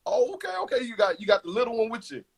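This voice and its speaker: A man's voice: very high-pitched, big pitch swings, very fast, husky. A young man hosting a casual interview, chatty and laid-back, full of slang. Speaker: host.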